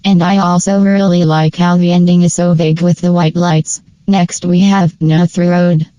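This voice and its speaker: Female commentator, low and mellow, informal and opinionated, for a casual scripted ranking video. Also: little pitch movement, moderate pace, no room sound. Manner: informal, opinionated fan talk with slang. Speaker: video creator and narrator. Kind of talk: casual scripted tier-list review commentary.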